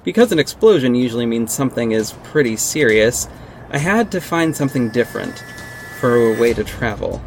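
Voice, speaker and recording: A man's voice: medium pitch, expressive, a little fast, close to an everyday voice. Male actor as earnest superhero lead and first-person narrator for audio drama; mid-range voice, light music bed. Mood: earnest, dramatic. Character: earnest male masked superhero recounting his adventures. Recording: music under the voice.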